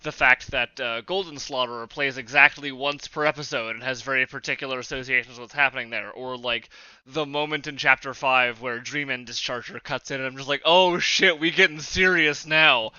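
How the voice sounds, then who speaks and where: A man co-hosting a podcast in casual chat, animated and swearing, acting out his own reactions. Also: medium pitch, fairly quick, clear, resonant tone, no room sound, dull audio. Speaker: podcast co-host.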